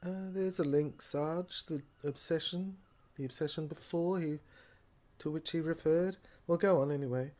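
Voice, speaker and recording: A woman playing a weary researcher in an audio drama, haltingly reading out translated fragments and repeating phrases. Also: low voice, dark tone, natural voice. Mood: puzzled, halting. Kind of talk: amateur serial audio drama acting, halting read-aloud dialogue.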